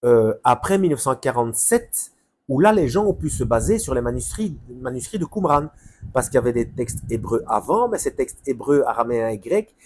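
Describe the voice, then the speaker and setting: A man's voice: mid-range voice, very animated, a little mellow, a little hiss or hum. A man reading a book's preface aloud, stumbling over names and breaking off into rambling, self-correcting asides. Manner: halting, stumbles over names, frequent fillers; muses to himself, loses his thread. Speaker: Bible reader and commentator.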